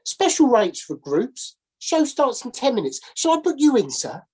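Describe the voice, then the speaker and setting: A woman's voice: sits high, sing-song, moderate pace, a little mellow, husky, voice pushed up, full character voice. A woman voicing a shabby, down-on-his-luck male ex-sailor who coaxes and wheedles. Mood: salesy.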